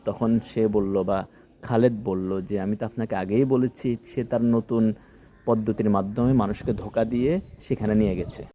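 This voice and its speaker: A man's voice: lowish voice, steady tone, normal speed, warm tone. A man giving a scripted language lesson, didactically translating phrase by phrase in small chunks. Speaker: language teacher.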